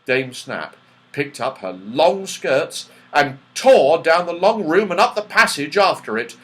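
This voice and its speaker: A man reading a children's story aloud in an animated, carefully enunciated way, as an audiobook narrator. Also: on the high side, measured pace. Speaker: audiobook reader.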